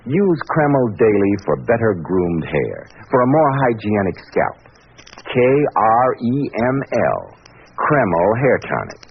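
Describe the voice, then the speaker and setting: A man's voice: fairly low pitch, wildly swooping pitch, slow, gravelly. A man announcing on 1940s radio with polished, emphatic salesmanship. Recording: dull, noisy old radio recording.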